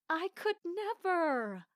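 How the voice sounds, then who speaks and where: A woman's voice: very high voice, fairly bright tone, exaggerated voice. A woman playing a polite, observant royal maid in an audio drama, speaking articulately. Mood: excited, chatty. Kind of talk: audio drama acting with inner-monologue narration.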